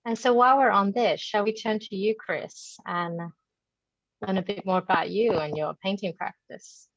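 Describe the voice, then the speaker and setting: A woman's voice: medium pitch, moderate pace, no echo. A woman moderating a panel, politely inviting the speakers to talk. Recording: dry, dull lo-fi sound.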